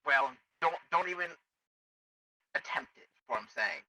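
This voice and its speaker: Very bright, clipped male game roleplayer. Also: on the high side, lively intonation, normal speed, no echo. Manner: clipped, procedural, matter-of-fact. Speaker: roleplayer as facility guard. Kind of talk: improvised online game roleplay.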